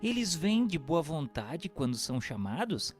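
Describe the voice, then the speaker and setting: Animated, echoing male questioner, read by an actor. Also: on the high side, unhurried. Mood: earnest, formal. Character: earnest male questioner reading questions and notes to spirits. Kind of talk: scripted dramatized reading of a spiritist book. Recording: long hall reverb, music bed.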